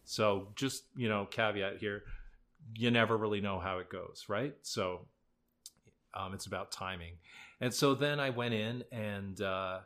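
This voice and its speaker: Young male stage actor, relaxed and self-mocking storyteller, lowish pitch, bright tone. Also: unhurried. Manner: laid-back, self-deprecating, chatty. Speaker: musical theatre actor, guest. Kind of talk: casual storytelling interview.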